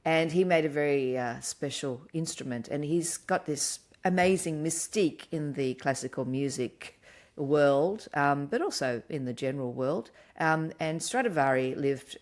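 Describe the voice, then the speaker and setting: A woman with a low voice for her sex explains a topic in a lively, chatty way. She laughs easily and keeps the tone casual and engaged. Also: normal speed. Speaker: podcast co-host. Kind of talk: casual chat.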